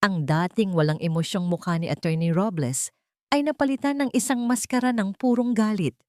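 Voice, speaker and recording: A woman's voice: medium pitch, moderate pace, no room sound, clean studio sound. A woman reading scripted, dramatic fiction as a story narrator.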